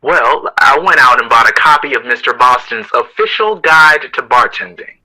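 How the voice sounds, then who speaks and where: An actor playing a witty, theatrical, playful jazz composer of the 1960s in an audio drama, with arch, flowery phrasing. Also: male voice, medium pitch, animated, normal speed, sharp tone, poor audio. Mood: playful, conspiratorial. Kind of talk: period audio drama dialogue.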